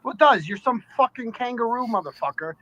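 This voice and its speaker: A man's voice: very high-pitched, expressive, brisk, a crowd in the background. A man arguing heatedly in an online audio chat, combative and profane, cutting in with repeated demands, on muffled lo-fi audio. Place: played-back social media audio room.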